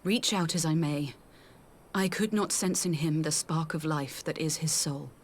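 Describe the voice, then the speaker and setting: Low-toned solemn young seer. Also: female voice, fairly even intonation, a little hiss or hum. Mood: solemn, measured. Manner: measured, formal archaic phrasing. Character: gentle, solemn young female seer, archaic and wise. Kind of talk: scripted video game cutscene acting.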